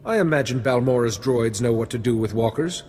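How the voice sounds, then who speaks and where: An actor playing a calm, resolute Jedi mediator in a video game, measured and formal. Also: male voice, mid-range voice, slight background noise, lightly acted. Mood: reassuring. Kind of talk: video game cutscene acting.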